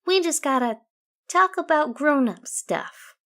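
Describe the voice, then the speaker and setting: A woman voicing a gentle, playful pegasus pony mother in an audiobook, cooing to a child. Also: high-pitched, lively intonation, slow, voice pushed up, exaggerated voice. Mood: gentle, reassuring. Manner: cooing baby talk to a child. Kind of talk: audiobook character voice.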